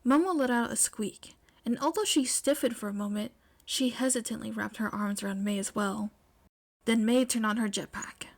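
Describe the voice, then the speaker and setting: A woman's voice: a little high, normal speed. A woman reading scripted fiction aloud as the narrator of an amateur audio story. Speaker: podfic narrator.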